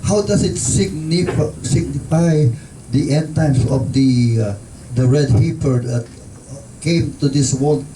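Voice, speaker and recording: Male group member, hesitant questioner, captured from a distance in a reverberant, noisy room. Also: fairly high pitch. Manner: halting, with frequent fillers and restarts. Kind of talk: asking a question in a group discussion.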